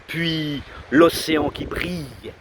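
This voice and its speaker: A man recites poetry in a solemn, declamatory manner with dramatic pauses, outdoors by the sea. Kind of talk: outdoor recitation of a 19th-century poem. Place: outdoors under trees on a windy seashore. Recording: loud wind buffeting the mic, roaring sea. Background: wind and rumbling sea.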